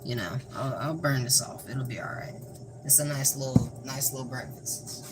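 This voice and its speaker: A young man with a Southern US accent casually talking through a cooking vlog in a flat, monotone, deadpan way, rambling and joking. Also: mid-range voice, moderate pace. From American South. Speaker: cooking vlogger. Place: home kitchen. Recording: noisy home recording.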